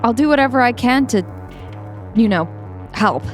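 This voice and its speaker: A woman's voice: fairly high pitch, lively intonation, moderate pace, soft background music, natural voice. A woman acting in an audio play as an anxious but determined heroine. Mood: earnest, relieved. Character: determined, anxious young heroine with a prized computer.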